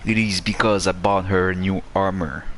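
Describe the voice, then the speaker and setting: A man's voice: low-pitched, flat delivery, moderate pace. A male game streamer who is not a native English speaker, giving casual, sweary live commentary in muttered reactions. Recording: loud game noise behind voice.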